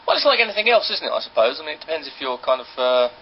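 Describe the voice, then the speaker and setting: A man's voice: fairly high pitch, very animated, rapid-fire. An English-accented man, a radio sidekick chatting casually on air in a hesitant, rambling, mild-mannered way. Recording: dull lo-fi radio recording, clear noise.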